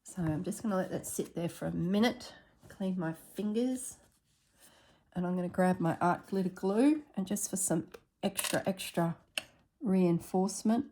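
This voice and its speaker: A woman with a slightly husky voice narrating a casual craft tutorial at her table, thinking aloud as she works. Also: on the low side, warm tone, a little hiss or hum. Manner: unhurried, thinks aloud while working. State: recovering from illness, slightly husky throat. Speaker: craft vlogger.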